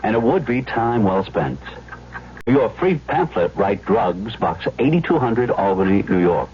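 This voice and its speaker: A man's voice: on the low side, big pitch swings, a little slow, a little hiss or hum. A man reading a scripted public service announcement as a radio announcer. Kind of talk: 1970s radio advertisement, scripted. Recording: muffled old radio broadcast.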